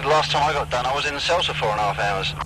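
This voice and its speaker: A man's voice: medium pitch, racing, background noise. A man who is a CB radio enthusiast, chatting casually and telling an anecdote.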